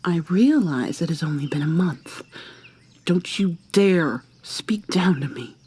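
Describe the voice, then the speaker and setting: A woman playing an obsessive, coldly possessive killer in an intimate ASMR roleplay, soft and often whispery, with a deliberate, mock-sweet, threatening manner. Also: lowish voice, lively intonation, slightly rough. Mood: cold anger. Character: obsessive, menacing female serial killer lover, coldly possessive.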